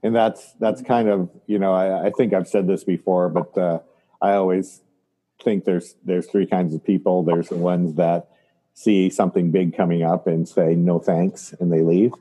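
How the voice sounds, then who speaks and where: A man's voice: sits low, steady tone, normal speed, mellow. A man in his fifties co-hosting a podcast, chatting casually and reflectively with joking asides and frequent restarts. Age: 50s. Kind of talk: casual reminiscing chat.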